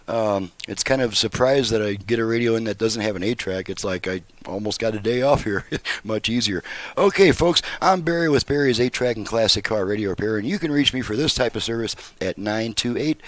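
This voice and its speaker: A man casually demonstrating his repair work as the host of a video, chatty and folksy, with hesitant pauses. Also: mid-range voice, no echo. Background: car radio playing music.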